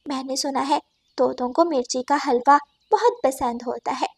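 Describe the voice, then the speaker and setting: Woman voicing a high-pitched, gentle mother sparrow. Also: normal speed, no room sound. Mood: polite, friendly. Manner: polite, gentle, earnest. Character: kind, caring mother sparrow, female.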